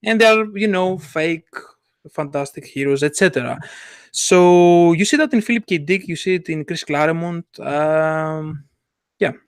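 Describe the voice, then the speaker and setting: An eager man with chats about stories in a fairly high voice. He stumbles and restarts often as his ideas race ahead. The recording is dry and close. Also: clean tone, dry recording. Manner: enthusiastic, halting, many restarts and laughs. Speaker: comic artist. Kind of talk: casual podcast chat.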